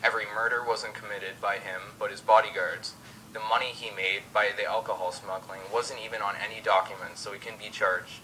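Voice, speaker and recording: A man's voice: on the low side, fairly even intonation, a little fast, pushed and bright, low-quality recording. A young man reading as co-host of an amateur mock 1920s radio show. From Canada.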